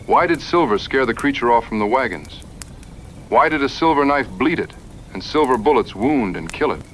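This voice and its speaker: A man's voice: mid-range voice, lively intonation, moderate pace, fairly bright tone, a little hiss or hum, close to an everyday voice, rough recording. A man playing a plainspoken, skeptical frontier hunter in an audio drama, earnest and folksy in his backwoods phrasing. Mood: troubled, doubtful.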